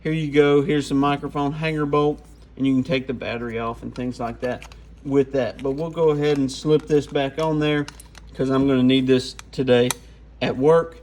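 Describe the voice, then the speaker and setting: A man's voice: medium pitch, fairly even intonation, moderate pace, darkish tone, a little hiss or hum. A man giving an informal, off-the-cuff hands-on product walkthrough. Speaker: radio gear reviewer.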